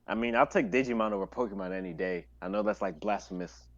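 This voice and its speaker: A man's voice: lowish voice, quick pace, no echo, dull audio. A man in his twenties bantering casually on a group livestream, playfully riffing. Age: 20s. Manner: playful riffing with dramatic quoting. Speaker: livestream panelist.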